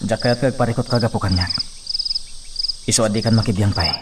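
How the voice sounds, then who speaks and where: A low-voiced, solemn man in trouble, played by a male actor, speaks earnestly in a radio drama. He sounds distant from the microphone, with loud crickets all around him. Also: a little fast, heavy background noise, close to an everyday voice. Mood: troubled. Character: troubled, grateful injured man sheltered by a friend. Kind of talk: Philippine radio drama acting.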